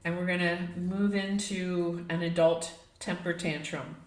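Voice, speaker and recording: A woman leading a guided yoga relaxation as the instructor, speaking in a gentle, measured, inviting way. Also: lowish voice, little pitch movement. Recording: echoey, reverberant room.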